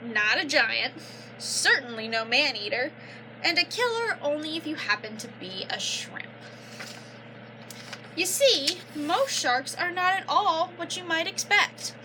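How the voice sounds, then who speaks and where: High, bright, animated young woman reading aloud. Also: unhurried, a little hiss or hum, rough recording. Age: young adult. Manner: instructive, prompting listeners with questions and affirmations. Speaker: student teacher reading aloud. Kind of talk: children's picture book read-aloud with explanations and questions.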